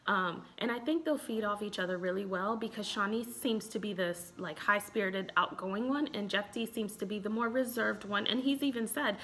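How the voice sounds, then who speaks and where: A woman in her thirties with an American accent, talking in a casual, expressive way as a relationship expert giving a review. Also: mid-range voice, normal speed, few pauses. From Chicago, United States. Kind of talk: casual vlog commentary. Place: small room indoors at home.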